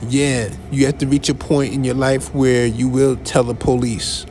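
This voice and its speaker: A man hosting a podcast, giving casual commentary in a conversational, halting way with restarts and asides. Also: medium pitch, level tone, a little mellow, a little hiss or hum. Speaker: podcast host.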